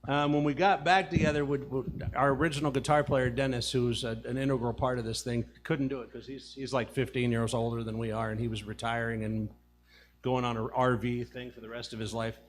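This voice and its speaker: A man's voice: mid-range voice, level tone, fairly quick. A man from a band chatting on a live show, telling a rambling story about his group. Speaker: band member. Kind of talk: casual chat on a live music show.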